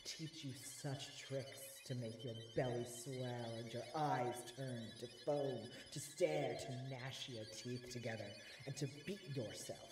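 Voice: medium pitch, fairly even intonation, unhurried, hardly any pauses, over music, close to an everyday voice, rough recording. An actor in an amateur audio drama playing a hapless minor devil in human shape, speaking plaintively in theatrical early modern English. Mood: earnest pleading.

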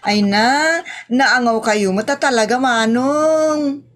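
Woman acting a slow, high, giggly sweet-talker, Ilocano accent. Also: a bit bright. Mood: sweet, teasing. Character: smiling, coy, sweet-talking woman seeking favour, giggly. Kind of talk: Ilocano comedy audio drama acting.

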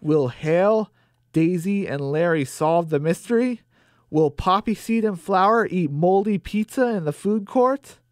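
A man's voice: high voice, lively intonation, measured pace, dry recording, studio quality. A man narrating a scripted comedy radio drama as its storyteller.

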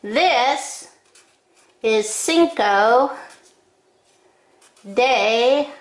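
An older woman giving step-by-step drawing instructions in short, deliberate phrases with frequent pauses, recorded in an echoing room. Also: on the high side, animated. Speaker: drawing teacher. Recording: roomy, echoing indoor sound.

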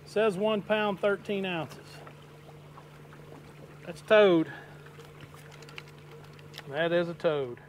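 A middle-aged man fishing from a small boat on a lake, talking to his viewers in a folksy, casual way and getting excited when he lands a fish. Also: sits high, drawn-out words, long pauses. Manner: folksy, excited bursts while landing fish. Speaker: fishing vlogger. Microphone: wide-angle camera mounted at the boat's stern. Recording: outdoor boat recording with wind and water noise.

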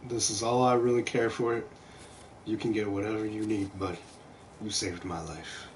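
A man's voice: fairly low pitch, level tone, moderate pace. A young man giving casual, laid-back gameplay commentary with self-deprecating, sweary remarks, recorded at home on a headset. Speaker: video game streamer. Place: indoor room at home. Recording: clearly noisy home stream recording.

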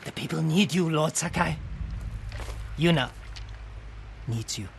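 A sly, eager sake merchant in a game cutscene, his voice a touch high and cheeky. He talks fast and wheedling, and a faint hiss sits under the voice. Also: vocal fry. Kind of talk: video game cutscene acting.

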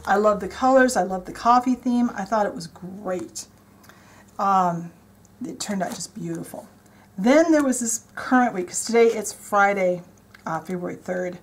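A woman's voice: medium pitch, normal speed. A woman casually narrating as she decorates her planner, chatty and enthusiastic, thinking aloud as she works at a desk. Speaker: planner vlogger. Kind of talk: casual show-and-tell narration. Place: indoors at a desk.

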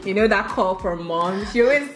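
A Nigerian woman in her twenties chatting casually as a guest on a sofa, animated and laughing often. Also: medium pitch, moderate pace, echoey room, soft background music. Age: 20s. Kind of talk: casual chat. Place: bright living room, seated on a sofa.

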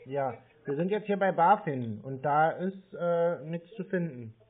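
A man's voice: fairly high pitch, animated, a little slow. Tinny-sounding man, sceptical on the phone. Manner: sceptical, insistent. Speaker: scam baiter taking a call. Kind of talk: phone conversation.

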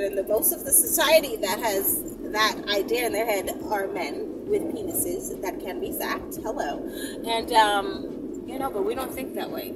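A woman in her thirties chatting casually and candidly to camera in a sassy, emphatic way, repeating phrases for emphasis. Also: medium pitch. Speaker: vlogger answering viewer questions. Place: inside a car, often while driving. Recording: noisy car interior, slightly distant mic. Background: vehicle and road noise.

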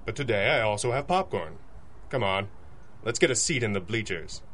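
An actor playing a dryly witty, commanding masked crime-fighter boss, deadpan and authoritative, in a radio adventure drama. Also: male voice, on the low side, moderate pace. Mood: wry. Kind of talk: 1930s-style radio adventure acting.

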